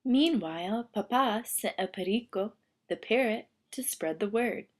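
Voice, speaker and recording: A woman reading a children's picture book aloud as a storyteller, with expressive, deliberate phrasing. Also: on the high side.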